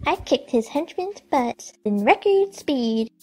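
A woman's voice: sits high, animated, slow, darkish tone, breathy edge, faint music behind, full character voice. An actress playing a perky, cocky, playful teenage huntress girl in an audio drama. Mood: playful bragging.

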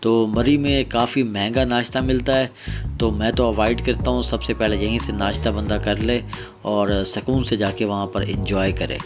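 A man's voice: lowish voice, level tone, moderate pace. A man with a Pakistani accent narrating a travel vlog casually, explaining things to his viewers as friends. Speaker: travel vlogger. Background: background music.

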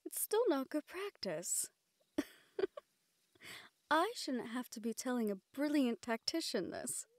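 A woman playing a gentle, playfully reproving fantasy sweetheart in a romantic audio roleplay, speaking tenderly in formal phrases. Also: high-pitched, big pitch swings, takes it slowly, pauses often, dry recording, exaggerated voice. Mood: playful scolding. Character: gentle, playfully scolding fantasy woman courting a dragon general.

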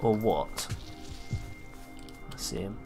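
Brief: male gaming commentator, slightly raspy, hyped, foul-mouthed, faint music underneath. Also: lowish voice, takes it slowly. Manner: excitable, swears freely, hypes viewers. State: slightly raspy from long talking. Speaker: gaming YouTuber. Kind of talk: casual gameplay commentary.

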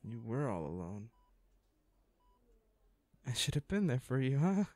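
A man's voice: mid-range voice, big pitch swings, a little slow, darkish tone, dry recording. A man acting a hot-tempered but caring boyfriend in an intimate audio roleplay, with naturalistic, sweary delivery. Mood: remorseful, grieving. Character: hot-tempered boyfriend, stressed then remorseful.

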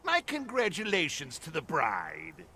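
Gleeful evil clown from a video game, slow n sing-song, mocking, really noisy behind. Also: male voice, a little high, huge pitch swings. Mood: gleeful menace. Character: mad clown villain, gleeful and sadistic. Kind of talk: video game character dialogue.

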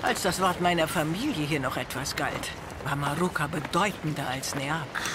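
A woman's voice: low voice, moderate pace, heavy background noise, lightly acted. An actress playing a mature, seductive Italian noblewoman in a radio play, haughty, sly and condescendingly sweet, drawling theatrically. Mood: bitter, haughty. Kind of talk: German radio play acting. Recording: studio radio play with music underneath.

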